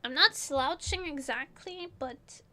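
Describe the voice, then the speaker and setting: Bubbly high-voiced streamer woman. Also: slow, sharp tone. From Portugal. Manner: giggly, bubbly, rambling. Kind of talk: casual chat reading live chat.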